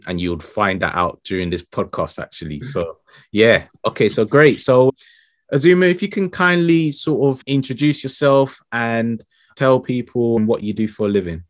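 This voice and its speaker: A man's voice: medium pitch, moderate pace, no echo, clean studio sound. A man hosting a podcast, chatting casually in a friendly, rambling way with many fillers. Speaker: podcast host. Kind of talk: casual interview chat.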